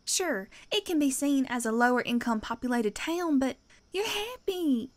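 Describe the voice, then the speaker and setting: A woman performs a small-town teacher in an audio drama. Her voice is notably high for a woman. She comes across as guarded and defensive yet tender, choosing wordy, earnest phrases with some hesitation. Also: animated, moderate pace. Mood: pleading.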